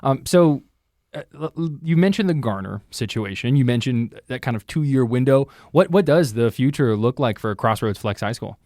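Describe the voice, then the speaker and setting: A man's voice: medium pitch, expressive, normal speed, darkish tone, no room sound, studio quality. A man hosting a news podcast in a conversational interview style.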